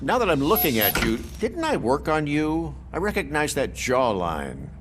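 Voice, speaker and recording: A man's voice: on the high side, huge pitch swings, normal speed, a little hiss or hum. An actor playing a chatty male morgue worker with wry gallows humour in a video game. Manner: wry, talkative.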